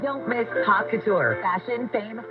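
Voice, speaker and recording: A woman's voice: a little high, lively intonation, measured pace. A woman reading a promotional commercial as a radio announcer, heard through an old radio speaker. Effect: played through a vintage car radio. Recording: tinny old radio speaker, music behind.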